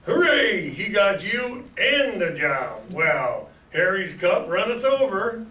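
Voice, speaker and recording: A man's voice: high voice, sing-song, slow, sharp tone, exaggerated voice. An older man playing Uncle Billy, a merry, muddled old uncle in an old-time radio drama. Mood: jolly. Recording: echoey room, distant mic, crowd noise.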